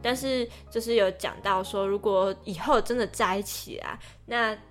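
Young woman chatting over soft music. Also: medium pitch, moderate pace. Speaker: podcast co-host. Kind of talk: casual podcast chat.

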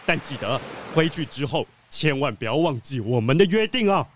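An actor playing a kindly, principled gentleman treasure collector, earnest and expressive, in a children's audio drama. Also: fairly high pitch, normal speed, a little hiss or hum, natural voice. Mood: grateful.

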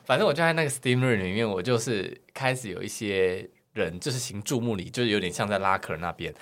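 A man's voice: fairly low pitch, expressive, brisk, fairly bright tone. A man with a Taiwanese Mandarin accent chatting casually as a podcast guest, telling personal stories in a campy, theatrical, cheeky way. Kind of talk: casual chat, personal storytelling.

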